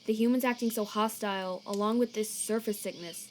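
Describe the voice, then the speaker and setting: A woman's voice: mid-range voice, fairly even intonation, a little hiss or hum, close to an everyday voice. A laid-back male cartoon skeleton acted out by a woman talks in a middling register. He is slangy and protective, with a weariness that makes him halt over his words. Night insects chirp faintly behind him. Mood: shaken, resolute. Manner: casual, slangy, halting when troubled. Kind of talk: comic dub acting. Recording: cricket night ambience behind.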